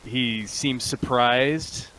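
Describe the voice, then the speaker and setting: A man's voice: mid-range voice, fairly even intonation, drawn-out words, fairly bright tone, clean tone, low-quality recording. A man acting as game master, casually narrating a tabletop roleplaying game and answering a player.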